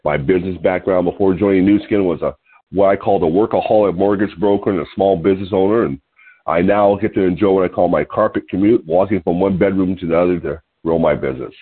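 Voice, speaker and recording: A man's voice: low voice, fairly even intonation, quick pace, slightly rough. Sniffly man in his sixties on a tinny phone line. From California. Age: 60s. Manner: reads aloud with small asides and chuckles. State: nasal and sniffly from allergies. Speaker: host. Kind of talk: reading an article on a call-in show. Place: home room.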